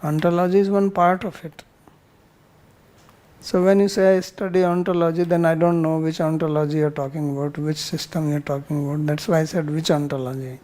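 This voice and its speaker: A man's voice: a little high, level tone, fairly quick, darkish tone. An older man with an Indian accent answers students as a spiritual teacher, bluntly and often turning questions back on them.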